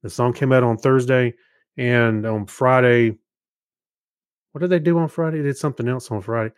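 A man co-hosting a podcast, chatting casually in an easygoing, slightly halting way. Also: medium pitch, smooth, no echo, clean studio sound. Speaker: podcast co-host.

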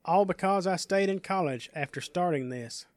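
A man's voice: high voice, moderate pace, no room sound, pitched above the performer's own voice, close to an everyday voice. A man voicing an eager, proud young superhero in an audio story, in an exclamatory manner. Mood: pleased.

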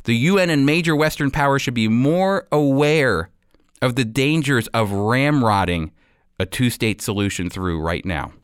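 A man's voice: mid-range voice, lively intonation, measured pace, clear, resonant tone, dry recording, clean studio sound. A man hosting a show, giving scripted opinion commentary in an earnest, emphatic way, speaking straight to listeners. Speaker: show host.

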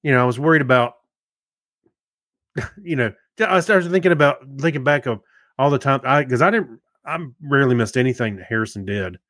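A man's voice: mid-range voice, fairly quick, a bit bright, no echo, clean studio sound. A middle-aged man co-hosting a podcast in casual chat, talking in a rambling way with many restarts and fillers. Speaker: podcast co-host.

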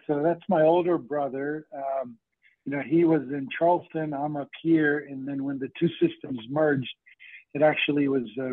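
A man's voice: a little high, no room sound. Middle-aged man on a thin, phone-like line. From Lebanon and West Virginia. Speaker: interview guest, interventional cardiologist. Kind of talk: casual explanatory talk. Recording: thin phone-like band, dry.

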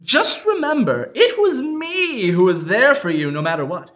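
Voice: medium pitch, big pitch swings, moderate pace, fairly bright tone. An actor playing a clingy discount-deal service as a boyfriend, with theatrical, pleading sales patter in a radio-style comedy sketch. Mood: hurt, pleading. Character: Groupon, clingy salesman boyfriend turning gleefully villainous. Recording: live, unedited stage performance.

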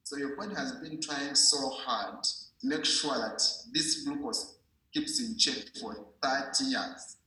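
A man's voice: medium pitch, measured pace, bright, forceful tone. A man giving an informal medical explanation in a didactic way, repeating himself and checking that the listener understands. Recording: very echoey room.

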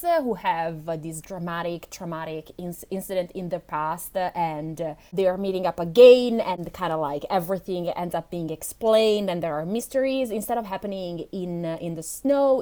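A woman's voice: lowish voice, a little mellow. A woman with an Italian accent, a podcast guest chatting casually, often laughing and restarting her sentences. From Italy. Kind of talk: casual chat.

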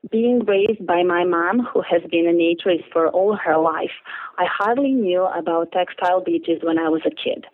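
A woman's voice: on the low side, moderate pace. A woman, carefully reading her own letter aloud to a podcast host over the phone. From Serbia. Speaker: listener reading her email. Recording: tinny phone line.

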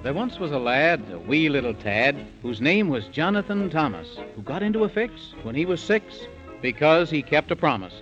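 A man's voice: fairly high pitch, very animated, moderate pace, over music. A man narrating a children's story in theatrical, singsong rhyme in 1930s radio style. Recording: dull old 1930s radio recording.

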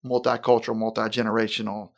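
A man, a pastor speaking in an earnest, measured way and slowing down for emphasis in a conversational interview. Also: fairly low pitch, little pitch movement. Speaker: senior pastor.

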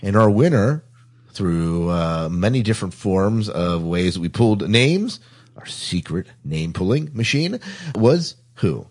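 A man's voice: low voice, very animated, unhurried, darkish tone. A man hosting a podcast, chatting casually as he runs through show announcements. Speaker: podcast host.

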